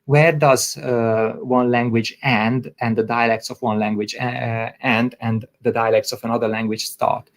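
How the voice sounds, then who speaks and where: A man whose first language is Hungarian gives an academic lecture in English, pausing often with filler sounds. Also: medium pitch, little pitch movement. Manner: hesitant, many filler sounds.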